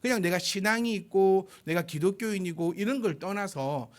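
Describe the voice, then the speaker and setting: A man's voice: high voice, normal speed. A man in his forties, a pastor, giving a chatty, informal sermon with a self-deprecating manner. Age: 40s. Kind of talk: sermon, conversational and anecdotal.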